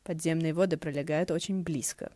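Woman as a low, deadpan, gruff male deity. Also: brisk, dry recording, clean studio sound. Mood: dry, guarded. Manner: terse, deadpan. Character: dry, gruff, secretive earth-master deity, male. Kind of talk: audiobook character voice.

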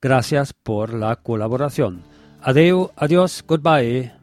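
A man's voice: mid-range voice, animated, slow, music in the background. A man presenting, signing off and plugging a book in an announcer's role. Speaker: radio presenter. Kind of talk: presenter's sign-off and book plug.

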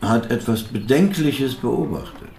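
An actor playing a persistent investigator in a radio drama, questioning in a measured, probing way. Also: male voice, medium pitch, expressive, creaky, a little mellow, a little hiss or hum. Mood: businesslike. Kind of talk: naturalistic radio drama acting. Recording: echoey room with faint background ambience.

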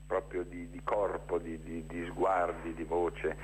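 A low-pitched man gives an explanatory radio interview. He speaks spontaneously, pausing inside phrases and repeating words for emphasis. The line carries faint noise. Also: level tone, low-quality recording. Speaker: interview guest, theatre director presenting a festival. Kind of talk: spontaneous informational interview talk.

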